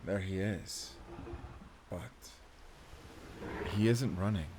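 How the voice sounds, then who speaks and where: Man as low-voiced, grim werewolf hunter. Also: background noise. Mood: grimly determined. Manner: intense, commanding, deliberate. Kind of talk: cinematic audio roleplay acting.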